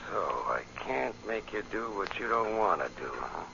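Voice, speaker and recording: A man's voice: lowish voice, very animated, normal speed, gravelly, pushed and bright, full character voice. An actor playing a menacing American crime boss with sneering tough-guy slang, in old-time radio drama style. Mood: cold threat. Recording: muffled, hissy old radio recording.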